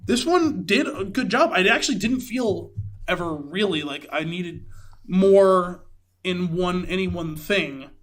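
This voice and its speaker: A man with a notably high, forward and bright voice co-hosts a podcast. He talks in a conversational, analytical manner, casually critiquing a film. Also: lively intonation, unhurried. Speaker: podcast co-host.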